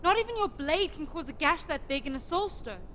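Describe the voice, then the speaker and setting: A woman's voice: sits high, big pitch swings, fairly quick, a bit bright, slight background noise. A woman playing a stern, protective wasteland rescuer in an audio drama, firm, matter-of-fact and easily exasperated. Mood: alarmed, exasperated.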